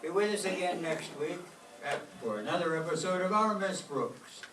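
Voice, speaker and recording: A man's voice: sits high, lively intonation, normal speed. A man announcing and narrating an old-time radio show from a script at a live staged performance. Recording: roomy, distant mic, clear background noise.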